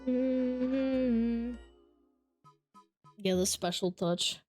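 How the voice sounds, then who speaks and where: A woman's voice: on the high side, drawn-out words, darkish tone, faint music behind. A woman with a nasal voice, chatting casually on a livestream and reading viewer comments in a playful, teasing way. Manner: playful, teasing banter with frequent laughs.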